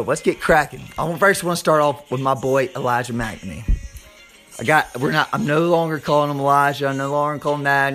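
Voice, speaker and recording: A man with a Southern US accent and a slightly hoarse voice, hosting an informal sports podcast like a hyped showman, stretching words and repeating phrases. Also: fairly high pitch, a bit bright. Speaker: podcast host.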